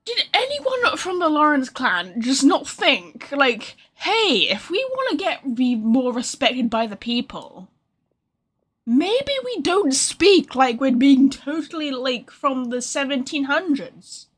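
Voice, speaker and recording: A woman's voice: high voice, big pitch swings, slow, a bit bright. A woman giving casual live game commentary as a streamer, with a blunt, sarcastic manner. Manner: reacts bluntly to dialogue, sarcastic.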